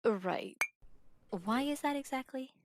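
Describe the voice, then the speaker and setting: A woman's voice: high voice, expressive, slow pace, noisy background, full character voice. An actress playing a teasing, pointed woman guard in an audio drama. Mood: teasing, probing. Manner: probing, pointed. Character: pointed, teasing young female guard seeking trust.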